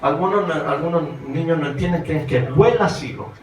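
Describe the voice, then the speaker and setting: A roomy recording, picked up from a distance with faint noise, carries a Chilean man preaching. His voice sits a little high for a man. He speaks as a pastor to a congregation and keeps putting rhetorical questions to them. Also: brisk. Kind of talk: sermon.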